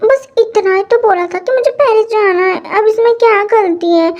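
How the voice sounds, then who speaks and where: Woman voicing a pampered teen daughter: very high, whiny, wheedling, soft dark tone. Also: normal speed, dull audio. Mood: pleading. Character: pampered teenage daughter, sweet and pleading.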